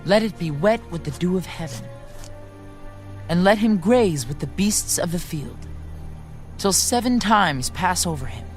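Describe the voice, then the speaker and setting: An actor playing an earnest, respectful male prophet formally addressing a king in a scripture drama. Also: a little high. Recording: faint music bed behind.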